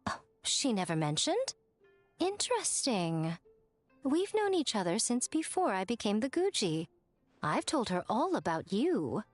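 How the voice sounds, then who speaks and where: An elegant, sly shrine maiden from an anime game. She speaks in a fairly high, richly animated voice, theatrically arch and playfully teasing, with faint music underneath. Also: a little slow, no echo. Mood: amused, teasing. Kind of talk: English anime-style game voice acting.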